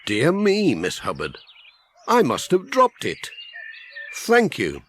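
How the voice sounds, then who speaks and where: A man's voice: a little high, huge pitch swings, takes it slowly, a bit bright, slight background noise, full character voice. A man voicing a friendly, overworked village postman in a children's audiobook, with a Northern English accent and a folksy, grumbling manner. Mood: friendly, flustered.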